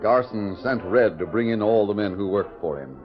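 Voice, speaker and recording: A man's voice: fairly low pitch, lively intonation, normal speed. A man narrating a 1940s western radio drama in a scripted storytelling style. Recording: dull old radio recording. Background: dramatic music.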